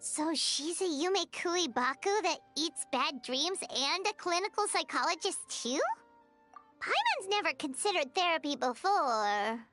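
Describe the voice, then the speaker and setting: Very high, bright, chirpy little fairy sidekick for a game, excitable and childlike. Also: female voice, measured pace, soft background music, exaggerated voice. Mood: excited. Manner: chirpy, refers to self in third person. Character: tiny excitable floating fairy companion, childlike, food-loving. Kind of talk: video game voice acting.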